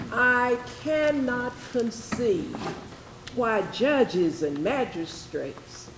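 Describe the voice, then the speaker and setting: A woman's voice: on the high side, exaggerated voice. A woman playing an impassioned orator in a readers theatre, reciting anti-slavery verse in a declamatory, pleading manner. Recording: roomy echoing stage, faint noise.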